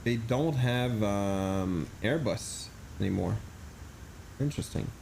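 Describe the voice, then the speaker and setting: A man's voice: on the low side, slow pace, gravelly, darkish tone, slight background noise. A man streaming a flight simulator, chatting casually, thinking aloud and answering chat with many fillers.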